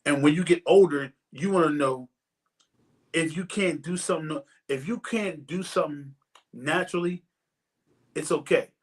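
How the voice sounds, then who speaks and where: Husky man in his forties, rambling. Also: on the high side, moderate pace. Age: 40s. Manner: rambling, repeats words while finding his point. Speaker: podcast host. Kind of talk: casual chat.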